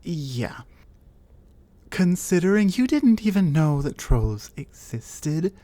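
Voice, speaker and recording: A woman voicing a low, scornful cave troll. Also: dark tone. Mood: lecturing. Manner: scornful, lecturing, deliberate. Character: large territorial female cave troll, scornful. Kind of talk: ASMR audio roleplay.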